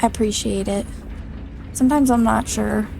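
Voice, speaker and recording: A woman streaming a video game who chats to her audience in a giggly, self-deprecating way. Her voice sits fairly high with a creaky, gravelly edge. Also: fairly even intonation, normal speed, a little mellow, faint music behind, low-quality recording. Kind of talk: casual let's-play commentary.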